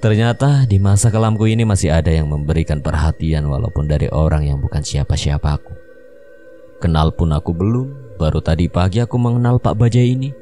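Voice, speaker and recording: A man narrating a mystery story in the first person, calm storytelling. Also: deep voice, a little fast. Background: faint music.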